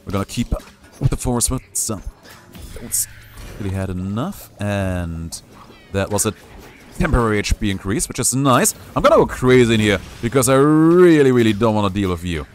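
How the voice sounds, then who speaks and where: A man's voice: on the low side, lively intonation, moderate pace, soft background music. A young man streaming a game, giving playful, jokey live commentary and reading chat aloud. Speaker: gaming streamer.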